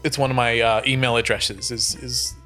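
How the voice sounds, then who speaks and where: A man's voice: medium pitch, flat delivery, normal speed, pushed and bright, faint music behind. A man chatting casually on a podcast. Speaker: podcast cast member. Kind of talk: casual chat.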